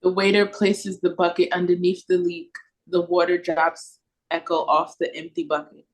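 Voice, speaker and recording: A woman's voice: on the low side, flat delivery, moderate pace, poor audio. A woman reading stage directions as narrator in a scripted read-through over a video call.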